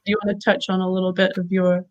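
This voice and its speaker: A woman's voice: on the low side, little pitch movement, fast talker, lo-fi sound. A woman hosting a conversational interview, asking questions hesitantly with many "um"s and restarts. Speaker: interview host, parent project lead.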